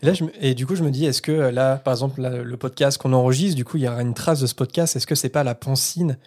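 A man with a medium-pitched voice speaks as a podcast co-host. He talks informally, musing and working through his thoughts aloud. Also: quick pace, darkish tone. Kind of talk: casual discussion.

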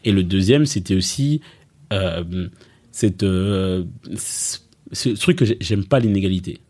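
Relaxed low-voiced man chatting. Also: unhurried. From Rwanda, raised in Belgium. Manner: relaxed, chatty, many fillers and restarts. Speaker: entrepreneur and coach. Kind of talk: casual conversational interview.

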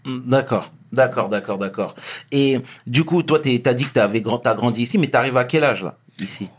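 A man's voice: mid-range voice, normal speed, slightly rough. A man hosting an interview, asking questions and encouraging his guest with frequent small acknowledgements. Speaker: interview host. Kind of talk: conversational interview.

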